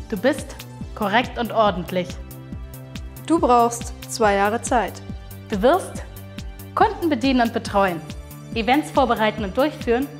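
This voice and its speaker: A woman presenter reading scripted lines straight to the viewer. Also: fairly high pitch. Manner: crisp, addressing the viewer. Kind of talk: scripted direct address.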